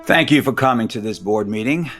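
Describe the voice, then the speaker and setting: An actor playing a composed, authoritative male executive in an audio soap opera, measured and firm. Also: medium pitch, fairly bright tone, faint music behind, lightly acted. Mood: formal.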